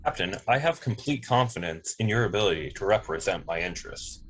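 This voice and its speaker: A man's voice: fairly low pitch, animated, brisk, a bit bright, a little hiss or hum, poor audio. A man playing a literal, polite android officer who speaks formally and precisely in a sci-fi table read. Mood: trusting.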